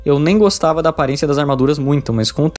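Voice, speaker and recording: A Brazilian man delivers a scripted review at a very quick pace. His voice sits a little high for a man, and faint music plays along with it. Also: a little mellow. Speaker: visual novel reviewer. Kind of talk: scripted video review narration.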